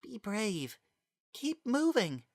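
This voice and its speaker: A man voicing a gentle, brave young boy in a dramatic story reading, speaking softly and tenderly. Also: high-pitched, no room sound, clean studio sound, pitched above the performer's own voice, full character voice. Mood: quiet encouragement. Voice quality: soft, hushed. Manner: slow, tender, steady.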